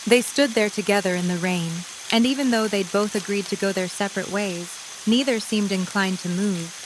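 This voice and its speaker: A woman narrates a scripted story in a mid-range voice. She reads descriptive prose as the narrator of an audio drama. Rain is clearly heard behind her. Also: mid-range voice, normal speed, background noise. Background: rain ambience.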